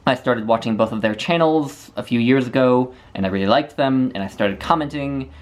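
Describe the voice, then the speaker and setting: A man's voice: medium pitch, a little fast. A man from the United States, a book video maker, talking through viewer questions in a casual, thoughtful way with frequent small restarts. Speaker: booktuber answering viewer questions. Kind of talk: casual Q&A monologue.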